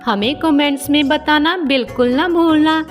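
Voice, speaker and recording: A woman's voice: high-pitched, normal speed, soft background music. A woman narrating a scripted children's story.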